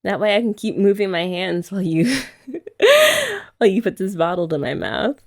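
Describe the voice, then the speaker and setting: Woman playing a chatty young girlfriend, playful and sweet, light fry in the voice, easy mid-range pitch. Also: lively intonation, moderate pace, darkish tone. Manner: chatty, casual, with filler "like" and giggles. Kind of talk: ASMR roleplay.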